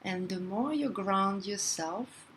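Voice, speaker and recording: A woman leading a guided meditation in a soothing, deliberate manner, leaving long pauses between phrases. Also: mid-range voice, a bit bright, slight background noise.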